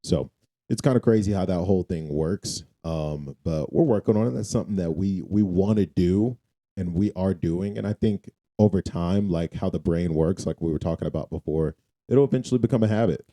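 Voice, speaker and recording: Low mellow guy cohosting a podcast, laid-back and informal. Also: brisk. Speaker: podcast co-host. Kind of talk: casual conversational podcast chat.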